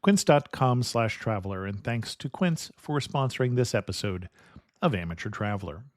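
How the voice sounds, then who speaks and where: A man hosting a podcast, presenting and interviewing in a clear scripted-radio style. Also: lowish voice, expressive, moderate pace, noisy background. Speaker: podcast host.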